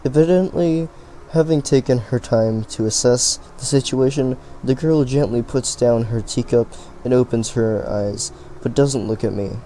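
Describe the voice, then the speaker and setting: Mellow-voiced man reading a game aloud. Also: medium pitch, slight background noise, rough recording. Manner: stumbling, restarting words. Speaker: let's play reader. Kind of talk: reading a visual novel aloud.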